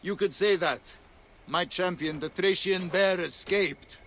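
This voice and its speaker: An actor playing a haughty, sly and commanding arena boss in a video game. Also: male voice, sits high, unhurried, fairly bright tone. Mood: sly, challenging. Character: haughty male arena boss who hires fighters. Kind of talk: video game cutscene acting. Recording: faint music behind the voice.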